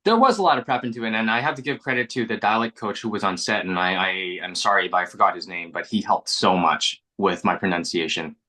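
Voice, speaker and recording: Young adult man, first language Mandarin, very low bright voice; earnest interviewee, reflective and halting. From Canada. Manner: reflective, earnest, frequent restarts and repeated words. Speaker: actor being interviewed. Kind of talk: casual interview chat.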